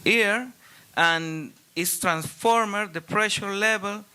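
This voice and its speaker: A man from Chile with a Chilean Spanish accent, a non-native speaker asking a question from the audience, halting and searching for English words. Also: sits high, pushed and bright, a little hiss or hum. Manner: hesitant, searching for English words, many restarts. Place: large hall, audience Q&A.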